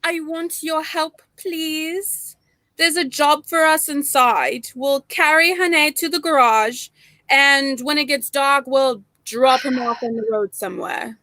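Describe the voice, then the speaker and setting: Woman acting suave female spymaster, theatrical, menacing, bright high voice, dry close sound, old radio play. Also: no echo, heavily acted. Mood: brisk, commanding. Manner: theatrical, genteel, deliberate. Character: suave, menacing female professor and secret spy.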